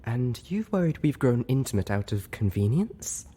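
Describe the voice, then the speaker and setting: A man voicing a gentle, refined female pony who speaks softly, properly and tactfully in a storybook reading. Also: mid-range voice, sing-song, moderate pace, breathy edge, voice pushed up. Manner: soft-spoken, purring, proper. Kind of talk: audiobook character voice.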